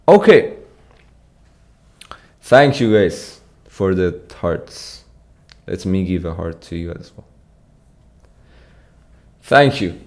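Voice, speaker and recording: Relaxed man from Iran streaming live. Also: on the low side. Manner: relaxed, reads and answers chat. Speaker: competitive programming streamer. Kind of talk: casual live stream chat. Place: small dim room with pink light. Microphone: studio mic on arm.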